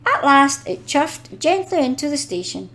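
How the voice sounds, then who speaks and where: Female storybook narrator for young children, higher voice, animated melody, deliberate delivery. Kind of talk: expressive scripted storybook narration.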